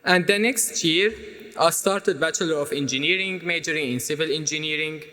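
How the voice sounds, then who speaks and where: A young man from Syria whose first language is Arabic, giving an earnest, measured prepared speech as a student speaker, with small restarts. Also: fairly high pitch, sharp tone. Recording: hall reverb.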